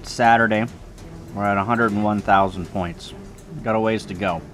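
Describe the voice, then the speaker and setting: A man's voice: lowish voice, fairly even intonation, moderate pace, music in the background. A man talking casually in a matter-of-fact, offhand way, giving short video-log updates as an amateur radio operator.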